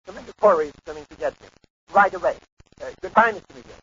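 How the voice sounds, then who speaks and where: An actor playing a furtive, evasive, insistent anonymous caller in a 1950s radio serial. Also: male voice, high voice, quick pace, pushed and bright. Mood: furtive, urgent. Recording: lo-fi, hissy old radio recording.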